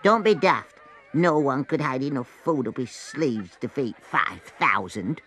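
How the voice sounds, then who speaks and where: A man's voice: medium pitch, lively intonation, a little slow, a bit bright, breathy edge, pitched above the performer's own voice, heavily acted. A man voicing an astonished, earnest villager in a dramatised story reading. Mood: scornful.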